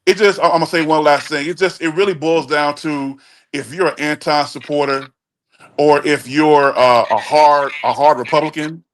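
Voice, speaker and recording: A man's voice: on the high side, fairly even intonation, normal speed. Blunt, emphatic man in his forties. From Chicago, USA. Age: 40s. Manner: blunt and emphatic, stumbles and restarts. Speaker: guest caller on a talk show. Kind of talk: casual opinion chat.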